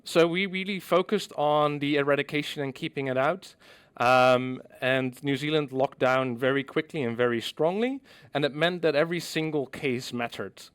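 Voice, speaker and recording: A middle-aged man from the Netherlands giving a scientific conference presentation, measured and explanatory with frequent ums. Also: medium pitch, fairly bright tone, clear, resonant tone.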